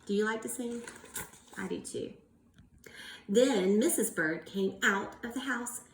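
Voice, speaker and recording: A woman's voice: a little high, measured pace, reverberant, slight background noise, poor audio. A woman teacher reading aloud to young children, encouraging them with questions and exaggerated enunciation. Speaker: early-years teacher.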